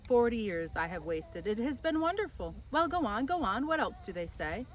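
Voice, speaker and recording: A woman's voice: on the high side, big pitch swings, normal speed, slight background noise. A woman voicing a proud, teasing, lazy fisherman from a children's storybook, with exclamatory, mock-exasperated delivery. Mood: pleased. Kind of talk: children's storybook character reading.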